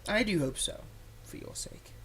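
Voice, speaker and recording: A performer playing a theatrical supervillain, menacing yet exasperated and softhearted, in a one-sided ASMR roleplay spoken straight to the listener. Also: moderate pace, slight background noise, close to an everyday voice. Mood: reluctant, grave. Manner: dramatic, swinging between threats and hushed soothing.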